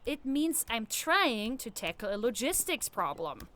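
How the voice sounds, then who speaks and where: A woman voicing a brash, scheming young girl cadet in an animated comic dub, with an expressive, wheedling manner. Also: a little high, unhurried, a little hiss or hum. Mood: evasive. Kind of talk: animated fandub comic voice acting.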